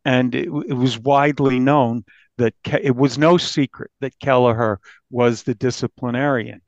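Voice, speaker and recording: A man with an American accent recounting a case as an interviewed reporter, haltingly, often restarting his phrases. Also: medium pitch, steady tone, no room sound. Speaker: newspaper reporter being interviewed. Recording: dull, dry lo-fi recording.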